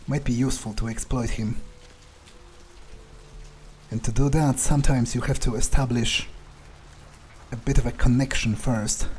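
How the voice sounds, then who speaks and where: A man's voice: mid-range voice, a little mellow, slight background noise. A man thinking aloud in character as a cynical vampire during gameplay, hesitant, disdainful and sweary. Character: cynical, scornful male vampire. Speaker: gamer playing in character.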